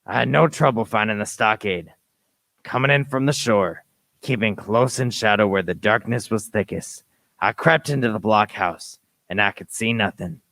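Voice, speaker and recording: A man's voice: lowish voice, normal speed, fairly bright tone, dry recording. A man narrating an adventure radio play in scripted, first-person storytelling.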